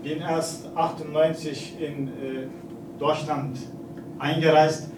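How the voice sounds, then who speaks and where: Redner, Mann Anfang vierzig, türkischer Akzent, leicht hohe Stimme, förmlich und stockend, hallig und entfernt aufgenommen. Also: male voice, a little high, flat delivery. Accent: Turkish. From Turkey. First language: Turkish. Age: 40s. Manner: formal, with halting hesitations and fillers. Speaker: consulate representative giving a speech. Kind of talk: formal speech at an exhibition opening. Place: event hall. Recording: echoey hall, distant, noisy.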